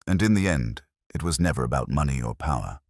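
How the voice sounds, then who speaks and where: A man voicing a detective's earnest, astonished male companion in an audiobook, in a hushed, questioning manner. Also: rumbling low, lively intonation, a little fast, slightly rough, dry recording, clean studio sound, full character voice. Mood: earnest, troubled.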